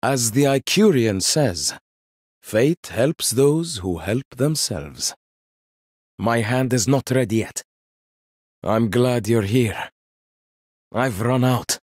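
Casting: proud young game hero, inventor-warrior, dry wit, slow deliberate delivery, lively pitch, dry close studio sound. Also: male voice, no room sound. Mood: confident. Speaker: game character. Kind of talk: video game voice lines.

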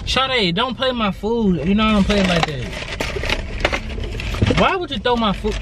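Guy in his 20s, teasing and stubborn, very lively voice, loud road noise in the car. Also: high-pitched, moderate pace. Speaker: vlogger pranking his girlfriend. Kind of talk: casual teasing banter. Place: inside a car, outdoors on the road. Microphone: dashboard camera.

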